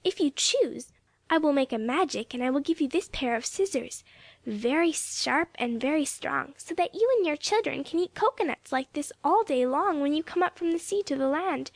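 A woman's voice: high-pitched, no echo, voice pushed up. A woman reading a storybook aloud, voicing an eager little girl who coaxes as she offers gifts. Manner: bright, coaxing.

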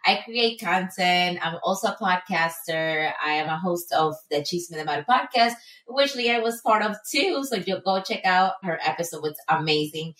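A woman's voice: lowish voice, expressive, normal speed, fairly bright tone. A woman in her thirties from Ecuador, talking openly and reflectively as a podcast guest. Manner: open, reflective storytelling. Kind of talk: casual interview chat. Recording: echoey room, far from the mic.

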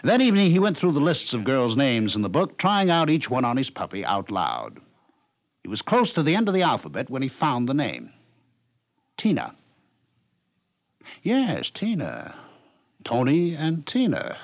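A man's voice: medium pitch, wildly swooping pitch, moderate pace, long pauses, no echo. A man reading scripted storytelling narration in the manner of 1950s radio. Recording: dry 1950s radio studio recording.

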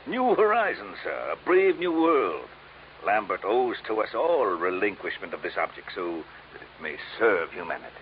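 Grandiloquent scheming villain, low voice, sweeping intonation, vintage radio crime drama, florid courtesy. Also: male voice, big pitch swings, normal speed. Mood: grandiose, persuasive. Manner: florid, formal, theatrically orotund phrasing. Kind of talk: 1950s radio drama acting. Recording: hissy, dull old radio recording.

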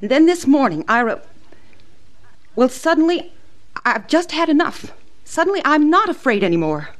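An actress playing an anguished but resolute frontier wife in a radio western, with halting, earnest delivery. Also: female voice, high-pitched, big pitch swings. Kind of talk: old-time radio western acting.

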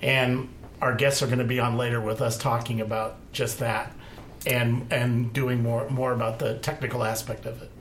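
A man's voice: mid-range voice, flat delivery, normal speed, reverberant, a little hiss or hum. A man who hosts a radio show, introducing himself in an easygoing, plain-spoken way. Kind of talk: casual self-introduction on radio.

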